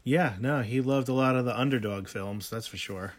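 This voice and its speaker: A man's voice: medium pitch, moderate pace. A middle-aged man co-hosting a casual home podcast, rambling with many ums and restarts. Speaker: podcast co-host.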